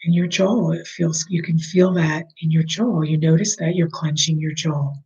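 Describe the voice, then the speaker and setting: A meditation guide leading a slow, soothing breathing meditation, speaking softly and at times almost in a whisper. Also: medium pitch, steady tone, muffled recording.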